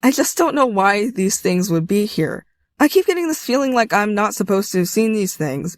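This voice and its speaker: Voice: mid-range voice, dry recording, studio quality. A video creator narrating a scripted video essay.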